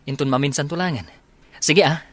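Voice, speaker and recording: A man's voice: on the high side, fairly quick, a bit bright, slight background noise, lightly acted. A man acting a rueful, teasing young bachelor in an Ilocano comedy radio drama, casual and joking with his buddy. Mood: evasive.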